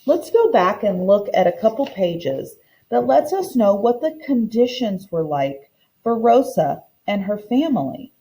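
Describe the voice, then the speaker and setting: A woman's voice: mid-range voice, very animated, measured pace, mellow, low-quality recording. A woman teacher reading a children's book aloud and explaining it in simple terms for young listeners.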